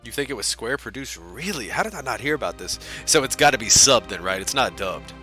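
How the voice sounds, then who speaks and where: A man's voice: mid-range voice, big pitch swings, brisk, bright, forceful tone, faint music behind. A man chatting casually with his live-stream chat while playing a game, talkative and self-deprecating. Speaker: speedrunner streamer.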